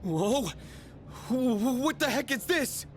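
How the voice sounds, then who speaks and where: A man's voice: very high-pitched, expressive, slow, faint music behind, exaggerated voice. A man playing a frightened, foul-mouthed young man in a video game. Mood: bewildered alarm.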